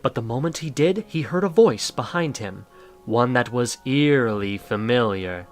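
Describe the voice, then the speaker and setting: Lively male story narrator. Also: mid-range voice, moderate pace, faint music behind.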